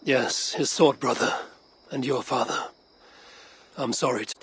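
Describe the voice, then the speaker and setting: An actor playing a steady, loyal Viking clan leader in a video game, measured and earnest, with a gravelly voice. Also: male voice, mid-range voice. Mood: steady, concerned.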